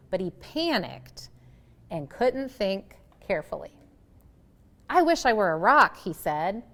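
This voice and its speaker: A woman who works as a librarian reads a picture book aloud expressively, with dramatic pauses and emphasis on key words. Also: mid-range voice. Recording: echoey, long room reverb.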